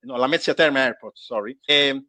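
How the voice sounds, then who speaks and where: A man from Calabria speaks English with an Italian accent, his native language being Italian. His voice sits at an average pitch in a dry, close sound. He recounts anecdotes conversationally, restarting sentences and hunting for the next word. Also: pushed and bright, dry recording. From Calabria, Italy. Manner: halting, searching for words, restarting sentences. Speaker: tour guide being interviewed.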